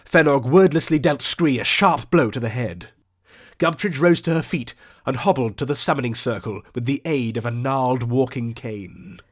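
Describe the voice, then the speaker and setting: Male narrator for a fantasy audiobook: medium pitch, ornate storytelling delivery, carefully measured phrasing. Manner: theatrical, ornate, deliberate delivery.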